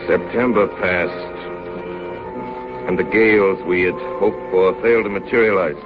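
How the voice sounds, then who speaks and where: An actor playing a level-headed chief officer who narrates a polar voyage in a 1930s radio drama. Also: male voice, deep voice, steady tone, a little slow, over loud music. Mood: grave, recounting. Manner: grave, vivid storytelling. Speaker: narrator of the story. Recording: noisy, dull 1938 radio recording.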